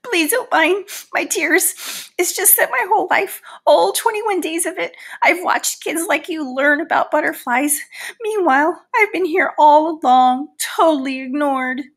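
A woman's voice: extremely high pitch, measured pace, pitched above the performer's own voice. A woman voicing a proud, easily hurt housefly in a storybook, with theatrical, pleading and boastful delivery. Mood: tearful, pleading. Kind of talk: storybook read-aloud in character.

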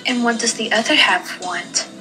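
A woman's voice: a little high, moderate pace, music in the background, natural voice, rough recording. A woman voicing a flirty, teasing female cartoon bat in a fan comic dub. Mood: coy, teasing.

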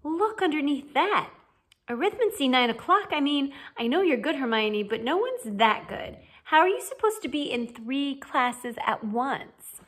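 A woman's voice: fairly high pitch, animated, normal speed, a bit bright. A woman voicing a blunt, argumentative teenage schoolboy who exclaims in disbelief, reading a storybook. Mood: incredulous. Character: teenage schoolboy, blunt, incredulous best friend.

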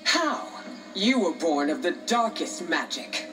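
Low-voiced, imperious grieving game empress. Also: female voice, very animated, takes it slowly, over loud music, low-quality recording. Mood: bitter, demanding. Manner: haughty, forceful, formal. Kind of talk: fighting game dialogue.

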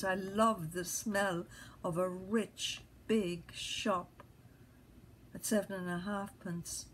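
A woman's voice: on the low side, a little hiss or hum. A woman performing a restless, earthy wife who lies awake musing, in a theatrical stream-of-consciousness monologue.

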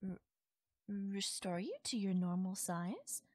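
Woman acting a breathy, possessive fairy sweetheart. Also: mid-range voice, takes it slowly, no room sound, studio quality. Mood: playful, coaxing. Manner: coaxing, affectionate, softly teasing. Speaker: voice actor. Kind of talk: intimate audio roleplay, ASMR-style acting.

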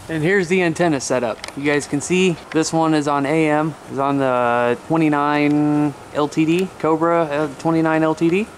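A man's voice: fairly high pitch, fairly even intonation, moderate pace. An American man in his thirties chatting casually to camera as a vlog host, laid-back and informal. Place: outdoors by a mobile home, later a small room indoors. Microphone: camera at arm's length. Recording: clear outdoor noise, handheld camera.

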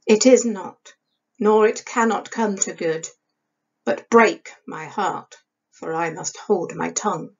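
A woman's voice: medium pitch, takes it slowly, a little mellow, husky. A woman voicing a young male actor declaiming an anguished Hamlet theatrically in an audiobook. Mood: anguished, declamatory. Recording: dull lo-fi webcam sound.

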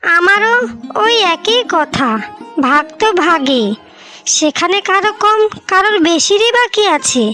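A woman's voice: extremely high pitch, animated, measured pace, bright, forceful tone, faint music behind, full character voice. A woman voicing a scheming young twin wife. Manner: sly, sweet-talking, then rude and lazy. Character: young wife, one of twins, scheming and sharp. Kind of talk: dubbed cartoon voice acting.

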